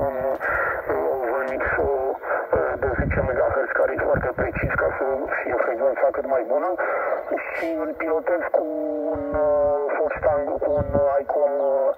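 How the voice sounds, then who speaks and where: A man's voice: a little high, vocal fry, distant mic. A man operating a portable radio station, chatty and rambling with many hesitations, heard through radio reception. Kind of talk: radio contact exchange. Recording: noisy tinny radio reception.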